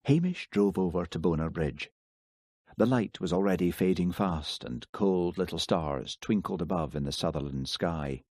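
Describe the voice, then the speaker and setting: A man narrating an audiobook in a measured, even storytelling manner. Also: low voice, dry recording, clean studio sound. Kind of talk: scripted narration.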